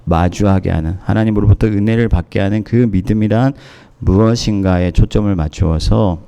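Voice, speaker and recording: Hoarse male pastor preaching slow and measured, lowish voice, kinda mellow. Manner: measured preaching with many pauses and fillers. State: hoarse from a cold. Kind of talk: sermon. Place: church in Moscow.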